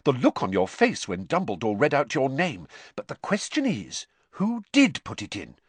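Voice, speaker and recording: A man's voice: medium pitch, wildly swooping pitch, moderate pace, breathy edge, dry recording, voice pushed up. A man reading an audiobook, voicing an earnest, worried teenage girl who is bossy and sensible.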